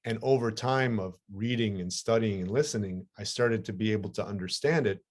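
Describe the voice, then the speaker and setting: A man whose first language is Ukrainian, hosting a podcast and explaining things in a conversational, animated way with frequent restarts. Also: lowish voice, a little fast. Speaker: podcast host. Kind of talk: conversational interview.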